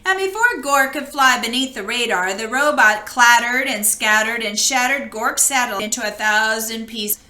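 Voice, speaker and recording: A woman reading a children's storybook aloud in an expressive narrating style. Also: on the high side, moderate pace, few pauses, reverberant. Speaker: storybook reader.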